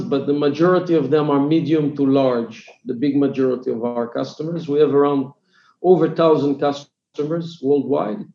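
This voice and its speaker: An Israeli man in his fifties speaks with a gravelly, mellow voice that sits a little high for a man. He talks haltingly and leans on many fillers. His audio sounds dull and echoey, like a remote call in a bare room. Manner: hesitant, many fillers and restarts. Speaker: guest, company CEO. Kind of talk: business podcast interview.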